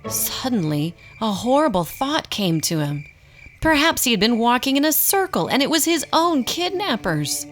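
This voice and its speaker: A woman narrating a scripted radio drama in an expressive storybook style. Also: on the high side, moderate pace, soft background music.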